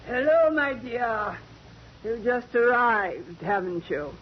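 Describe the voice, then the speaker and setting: An actress playing a grand, boozy older former stage star, theatrical and gushing, in 1940s radio acting. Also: female voice, a little high, big pitch swings, takes it slowly. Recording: muffled old radio recording with hiss.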